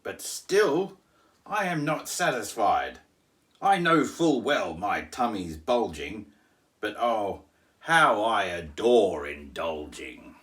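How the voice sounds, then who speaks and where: A menacing storybook wolf, played by a man, greedy and boastful. He blusters with very lively pitch and relishes every threat. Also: slow pace, exaggerated voice. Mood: greedy, smug. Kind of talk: storybook character reading.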